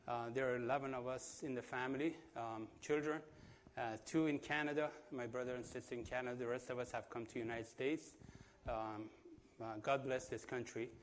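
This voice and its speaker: A man in his fifties with an Iranian accent tells his story at a church, halting, with many fillers and restarts. His pitch sits at a middling height. A faint hum of room ambience stays behind him. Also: steady tone. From Iran. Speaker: guest speaker giving testimony. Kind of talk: church testimony.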